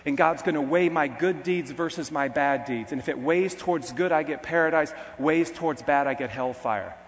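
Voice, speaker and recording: A man preaching who quotes a calm, matter-of-fact middle-aged man in his own voice. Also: fairly high pitch, normal speed, close to an everyday voice. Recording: long hall reverb.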